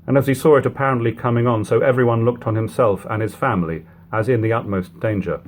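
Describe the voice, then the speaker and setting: A man reading an old literary book aloud in a measured, phrase-by-phrase narration, on a lo-fi home recording. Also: mid-range voice, steady tone, darkish tone. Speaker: audiobook reader. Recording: lo-fi home recording, background hum, noise.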